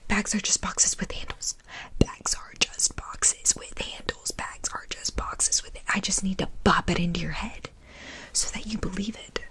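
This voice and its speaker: A young woman whispering close to the mic in a playful, rambling ASMR style, repeating words in soft rhythmic loops. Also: mid-range voice, steady tone, unhurried. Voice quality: breathy unvoiced whisper. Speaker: ASMR creator. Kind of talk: ASMR whispering, deliberately silly wrong explanations.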